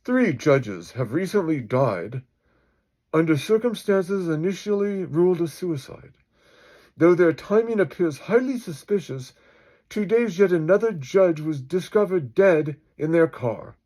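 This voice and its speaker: A man's voice: fairly high pitch, expressive, measured pace, warm tone, voice pushed up. A man playing a serious, urgent television reporter delivering a breaking news bulletin within a story.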